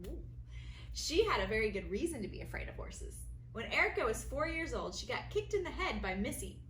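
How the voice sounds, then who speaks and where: A woman teacher reading a children's story aloud with expressive, deliberate storytelling. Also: on the high side. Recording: roomy echo, faint background noise.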